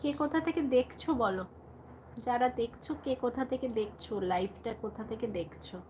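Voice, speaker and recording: A woman's voice: a little high, lively intonation, brisk, a little mellow. A woman hosting a casual live chat, talking informally to her viewers. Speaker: livestream host, vlogger. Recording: some background noise, home livestream.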